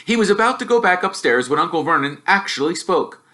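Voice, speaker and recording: A man reading a story aloud as expressive scripted narration. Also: sits high, fairly quick. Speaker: storybook reader.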